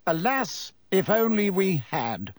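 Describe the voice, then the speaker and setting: A man's voice: on the high side, very animated, a little slow, dry recording, studio quality, voice pushed up. A man playing a pompous, self-important older government minister in an audiobook, grave and formal in delivery. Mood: regretful.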